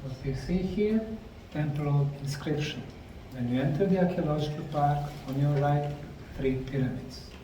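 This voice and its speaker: A middle-aged man slowly narrating a documentary on location at ancient ruins, explaining the sites like a tour guide. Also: fairly high pitch, dark tone, dull audio, a little hiss or hum. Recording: roomy, distant mic with faint outdoor ambience.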